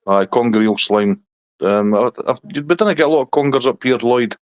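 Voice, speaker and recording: A man's voice: medium pitch, moderate pace, no echo, highs cut off. A middle-aged man from Scotland with a Scottish accent, chatting casually on a live stream and answering viewers' comments.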